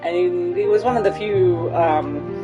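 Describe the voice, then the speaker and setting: Low-pitched woman streamer over game music. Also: a little slow, phone-quality sound. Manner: thinks aloud, checks herself with questions. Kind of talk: casual live commentary and read-aloud.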